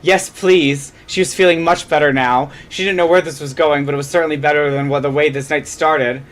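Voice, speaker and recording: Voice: mid-range voice, steady tone, fast talker. A theatrical reader telling a comedic scripted story, now and then stumbling over a line. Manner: theatrical, stumbles and repeats lines. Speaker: fan fiction reader.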